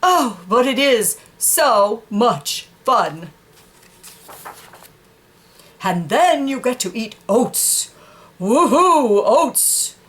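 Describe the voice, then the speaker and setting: A woman acts out a big horse from a picture book, proud of its mane and full of condescension. The voice is fairly high and swings widely in pitch. It teases in slow, cooing baby talk. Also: very animated, fairly bright tone, slight background noise, full character voice. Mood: cheery, coaxing. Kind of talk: children's picture book read aloud.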